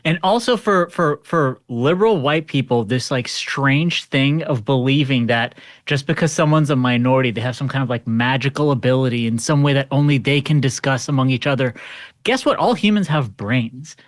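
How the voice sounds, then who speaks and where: A man's voice: mid-range voice, moderate pace, no room sound, studio quality. A man with an American accent giving casual political commentary as a panel guest, in an incredulous, emphatic way. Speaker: podcast host appearing as panel guest.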